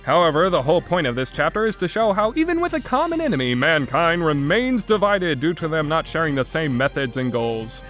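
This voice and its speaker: A man's voice: on the high side, big pitch swings, a little fast, few pauses, a bit bright, faint music behind. A man giving an opinionated video game review in an exasperated, sarcastic and emphatic way, with casual phrasing.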